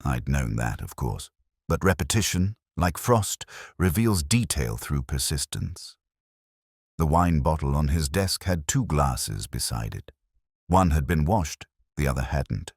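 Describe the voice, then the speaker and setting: Very deep, weary detective played by an actor. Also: male voice, animated, darkish tone, husky, no room sound, clean studio sound, exaggerated voice. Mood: grave, elegiac. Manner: measured, literary, sombre delivery. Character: weary, melancholy, reflective male English detective narrator. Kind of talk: scripted first-person mystery narration.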